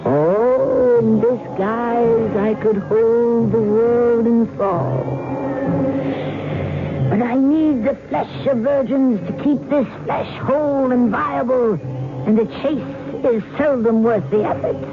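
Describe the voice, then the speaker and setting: Ancient cat queen, slow, grandiose, seductive menace, thin vintage radio sound with music. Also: on the high side, narrow, tinny audio. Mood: gloating, predatory. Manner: grandiose, theatrical, slow deliberate delivery. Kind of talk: old-time radio horror acting.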